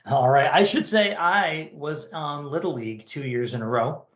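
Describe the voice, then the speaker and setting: A man's voice: on the high side, normal speed, dull audio. An American man hosting a podcast in a casual, chatty style. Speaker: podcast host. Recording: echoey, roomy sound.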